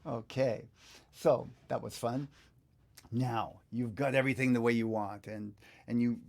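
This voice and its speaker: A man's voice: mid-range voice, creaky, darkish tone. An older man giving a casual live online tutorial as a teacher, thinking aloud informally in an indoor studio. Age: older, 50s to 60s. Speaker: guitar teacher hosting a live online session.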